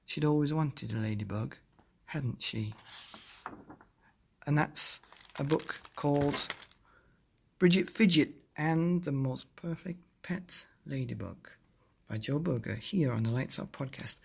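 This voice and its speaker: A man's voice: medium pitch, lively intonation, slow pace, creaky, long pauses, slight background noise. A man hosting and reading a children's bedtime story, speaking expressively and directly to young listeners. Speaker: podcast host and storybook reader.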